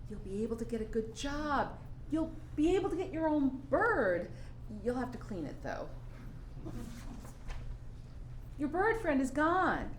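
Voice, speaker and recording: Woman acting a high-voiced, nagging, anxious mother. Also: big pitch swings, moderate pace. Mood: coaxing. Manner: insistent, chiding. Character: anxious, nagging, practical mother of a college-bound son. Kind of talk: staged reading of a play. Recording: roomy live recording with background noise.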